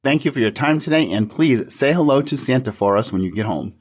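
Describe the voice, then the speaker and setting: A man's voice: mid-range voice, animated, fairly quick. A man co-hosting a podcast, interviewing and reading in a friendly, prepared style.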